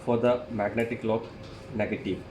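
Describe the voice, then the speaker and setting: A man who is a non-native English speaker gives a hands-on technical demonstration, explaining step by step in a halting, repetitive way. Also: fairly low pitch, fairly even intonation, a little mellow. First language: not English. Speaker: tutorial presenter, installer. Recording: echoey room, clearly noisy.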